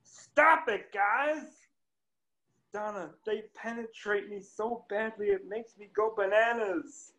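A man's voice: sits high, slow, exaggerated voice. A man reading a frazzled, whiny bar owner. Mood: exasperated. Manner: exasperated shouting, whiny complaints. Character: easily annoyed male bar owner Steve, frantic. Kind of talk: amateur table read over video call. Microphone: laptop webcam mic on video call.

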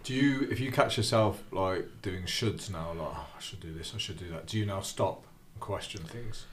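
A man's voice: low voice, moderate pace. A man in his thirties hosting a podcast, laid-back with casual slang, speaking into a desk mic in a small studio. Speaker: podcast host. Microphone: desk mic on a boom arm.

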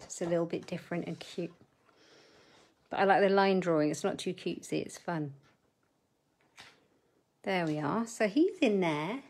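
A woman's voice: fairly low pitch, expressive, moderate pace, long pauses, a little mellow, a little hiss or hum. A middle-aged woman hosting a live crafting demo from her desk at home, chatting with viewers and muttering asides as she works. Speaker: papercraft host.

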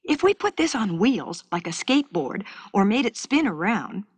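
A woman's voice: medium pitch, very animated, normal speed, slightly rough, no echo. A woman reading the lines of an imaginative young girl with firm opinions, in an emphatic, insistent manner. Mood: eager.

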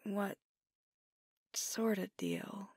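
A woman's voice: medium pitch, huge pitch swings, extremely slow, darkish tone, slightly rough, dry recording, clean studio sound, exaggerated voice. A woman voicing a sleepy young werewolf girlfriend in an ASMR roleplay, talking in drowsy half-whispers with hesitations. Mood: sleepy, teasing.

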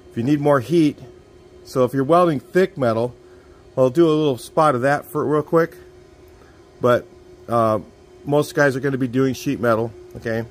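Middle-aged garage guy teaching welding outdoors, relaxed and plainspoken, mid-range voice, light outdoor hiss behind. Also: mid-range voice. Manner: relaxed, plainspoken, talks while working. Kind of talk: casual hands-on demonstration. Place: outdoor driveway workshop.

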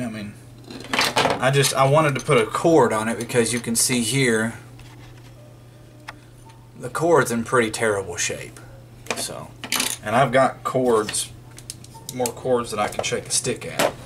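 A man's voice: mid-range voice, fairly bright tone, a little hiss or hum. A man giving casual, unscripted narration of hands-on repair work in a folksy way, thinking aloud. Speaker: vlogger demonstrating radio repair. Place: indoors at a wooden workbench.

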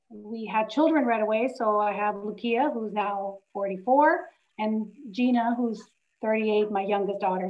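A woman in her sixties, from Greece and raised in Canada, telling her story on a video call from her living room in an earnest, reflective way. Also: on the high side. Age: 60s. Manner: earnest, reflective, slightly halting. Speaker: guest artist. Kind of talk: personal storytelling on a webinar. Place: home living room, indoors. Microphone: video call, laptop camera. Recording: muffled lo-fi video call audio.

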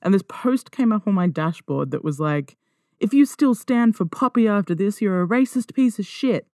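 A woman's voice: lowish voice, darkish tone, no echo. Wry woman podcaster, dry close sound. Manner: wry, self-deprecating, swears casually. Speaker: podcast host. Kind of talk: casual conversational solo podcast monologue. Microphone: close podcast microphone.

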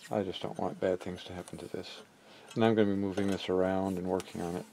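Low creaky guy thinking out loud while fixing stuff, casual, noisy workshop recording. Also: level tone. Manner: halting, thinking aloud while working. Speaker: repair hobbyist and video maker. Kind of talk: casual hands-on repair narration. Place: indoor cluttered electronics workbench.

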